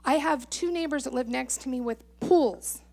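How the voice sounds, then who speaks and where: A woman's voice: sits high, moderate pace, vocal fry, dark tone. A middle-aged American woman giving informal testimony as a resident at a public meeting, exasperated and sarcastic, acting out exchanges as she goes.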